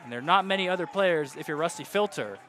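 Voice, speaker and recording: A young man calling baseball play-by-play on radio, walking through counts and base runners in a steady flow. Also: a little high, quick pace, a faint crowd. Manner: steady count-and-situation narration, rising loud on hits. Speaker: play-by-play announcer.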